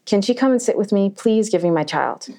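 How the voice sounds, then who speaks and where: A woman's voice: medium pitch, animated, brisk, mellow, pitched above the performer's own voice, close to an everyday voice. A woman voicing a frightened mother begging robbers to spare her children, in a shaky voice. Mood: pleading. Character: terrified young mother pleading for her children.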